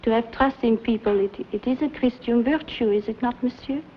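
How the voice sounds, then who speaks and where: Casting: actress as a high-voiced, anxious young French teacher with a French accent, on a dull old TV soundtrack. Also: female voice, fairly quick, slight background noise, lightly acted. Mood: pleading. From France. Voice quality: breathy pleading, flustered restarts. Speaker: language teacher.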